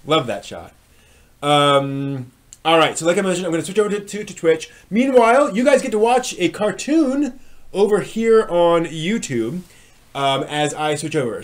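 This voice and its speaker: A man hosting a live stream, chatting casually and haltingly as he thinks aloud. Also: high-pitched, animated.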